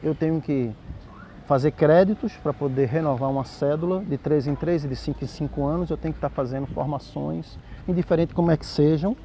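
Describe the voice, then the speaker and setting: A middle-aged Brazilian man speaks with a Brazilian Portuguese accent in a gravelly, dark, mellow voice. He reasons his case out loud as a capoeira master, often tagging on a questioning aside to draw the listener in. Faint room ambience sits behind him. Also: fairly high pitch, brisk, a little hiss or hum. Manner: reflective, argumentative, with frequent "né?" tags. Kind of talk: spoken commentary to camera.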